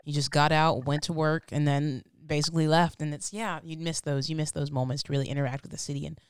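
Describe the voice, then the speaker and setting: A woman's voice: low voice, fairly even intonation, fast, clean tone, no room sound, studio quality. A woman co-hosting a podcast, supportive and affirming. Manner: supportive, lots of affirming murmurs. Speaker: podcast co-host. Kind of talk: casual podcast chat.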